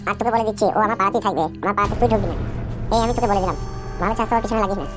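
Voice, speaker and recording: A short-tempered village man of the cartoon, acted by a woman, talks brusquely and very fast. He scolds fearlessly, with music playing underneath. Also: mid-range voice, lightly acted. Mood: threatening. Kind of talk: cartoon comedy voice-over. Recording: music behind the voice.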